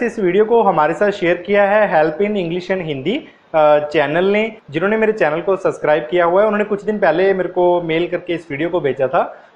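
A young man presenting a tutorial to camera in a small indoor room, speaking hesitantly, with drawn-out pauses. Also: sits high, a little hiss or hum. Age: young adult. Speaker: tech channel host. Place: small indoor office or shop. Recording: dull, lo-fi camera sound.

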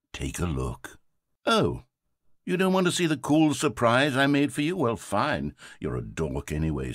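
Brief: self-important game narrator, theatrical, lively swings in pitch, bone-dry studio sound. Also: male voice, dry recording. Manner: theatrical, pompous, lively delivery. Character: pompous, self-important male narrator, easily rattled. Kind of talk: scripted video game narration.

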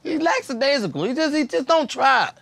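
Very animated American man bantering. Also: very high voice, fairly quick, no echo. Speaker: comedian contestant. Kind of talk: casual bantering. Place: podcast studio, indoors.

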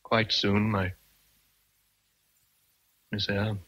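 An actor playing a brooding, teasing British gentleman in love with his governess, speaking deliberately in a period radio drama. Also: fairly low pitch, flat delivery, exaggerated voice.

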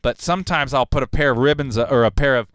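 Smooth-voiced man chatting about recording. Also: mid-range voice, fast. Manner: relaxed, rambling, technical. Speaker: recording engineer and host. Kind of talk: casual livestream talk on recording. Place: recording studio control room. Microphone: large broadcast mic on arm, headphones on.

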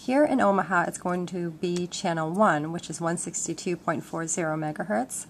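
Woman, lower pitch, informal instructional delivery, faint noise, handheld home-video audio. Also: moderate pace. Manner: informal, explanatory. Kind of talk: casual step-by-step demonstration. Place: indoors at a wooden table at home. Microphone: handheld camera microphone.

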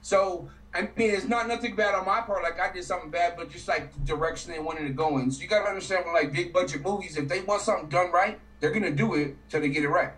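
A fairly high-voiced man from New Mexico talking on a video call, his slang loose and his delivery animated. The sound is roomy and a little off-mic, as if the laptop sits across his home studio. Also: fast, few pauses, distant mic. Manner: slangy, animated, swears freely. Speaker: guest actor. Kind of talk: casual video call interview.

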